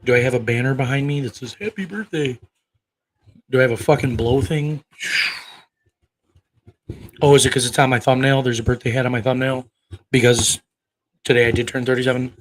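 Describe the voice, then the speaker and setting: A man's voice: medium pitch, fairly even intonation, a little fast, long pauses, low-quality recording. A man in his thirties preaching on a livestream, arguing with chat in a combative, profane way, firing rhetorical questions. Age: 30s. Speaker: online preacher. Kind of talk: livestream talk answering chat.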